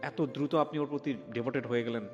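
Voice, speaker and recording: A man's voice: medium pitch, steady tone, quick pace, lo-fi sound. A man with a Bangladeshi accent hosting a probing conversational interview, switching into English phrases. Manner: switches between Bengali and English. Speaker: show host and interviewer. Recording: long reverb, background music.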